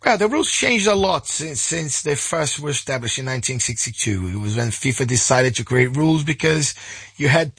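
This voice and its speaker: A man's voice: fairly high pitch, fairly bright tone, slightly rough, no echo. A man from Brazil, a journalist guest in a conversational radio interview, his voice sore and worn, often restarting his phrases.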